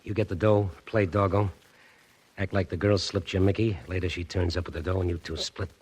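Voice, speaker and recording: A menacing gangster keeping watch on the hero. He speaks in cold, clipped threats at a low pitch. It is a vintage radio drama performance. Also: flat delivery, brisk, a little mellow, breathy edge. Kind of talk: 1940s radio acting.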